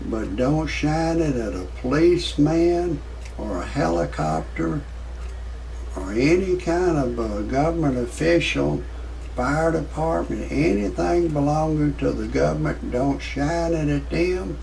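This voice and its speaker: Male, 60s, gravelly and slightly high-pitched, folksy rambling commentator, noisy home recording. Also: darkish tone, far from the mic. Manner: folksy, repetitive, halting delivery. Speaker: amateur online video commentator. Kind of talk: rambling off-the-cuff commentary with jokes.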